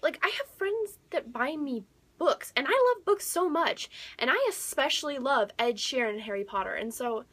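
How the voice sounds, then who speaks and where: A young woman chatting casually as a book vlogger, excitable and gushing, with dramatic emphasis and whispery asides. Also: sits high, moderate pace.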